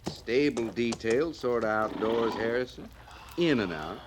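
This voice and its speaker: An actor playing a dry, wry cavalry sergeant, laconic and folksy, with a rural western American accent in old radio drama style. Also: male voice, medium pitch, lively intonation, a little slow, background noise, close to an everyday voice. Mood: wry, teasing.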